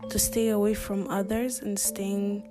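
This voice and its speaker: A woman speaking in slow, careful phrases. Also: mid-range voice, flat delivery. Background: music.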